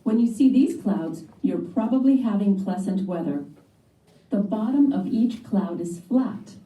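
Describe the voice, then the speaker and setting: Very mellow woman narrator, slow and echoey. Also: medium pitch, lively intonation, recorded from a distance. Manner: measured, clearly scripted explaining. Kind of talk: scripted educational read-aloud for children.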